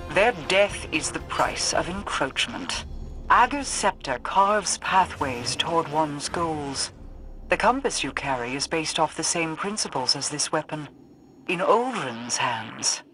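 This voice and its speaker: An actress playing a proud, cold queen in a video game, speaking in a formal, commanding, measured way. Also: low-pitched, fairly bright tone, low-quality recording. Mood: stern, regal. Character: proud, cold, imperious queen mourning her brother. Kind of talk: dramatic video game dialogue.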